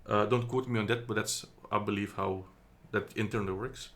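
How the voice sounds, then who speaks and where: A man with a somewhat low voice talks through a product review in an easy, unscripted way. He is from the Netherlands and fills pauses with ums, often correcting himself mid-sentence. The sound is a phone recording in an indoor room. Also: steady tone, fairly bright tone. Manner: chatty, hesitant, many ums and self-corrections. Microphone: phone camera.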